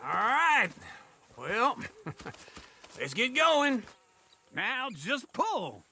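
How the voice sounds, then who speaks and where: Crusty old cowboy, wildly swooping voice. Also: male voice, very high voice, very animated, extremely slow, gravelly, bright, forceful tone, a little hiss or hum, full character voice. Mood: eager, bossy. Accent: American Southern. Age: older. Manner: cantankerous, boastful, folksy. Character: crusty, opinionated, talkative old cowboy ranch hand. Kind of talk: video game voice acting.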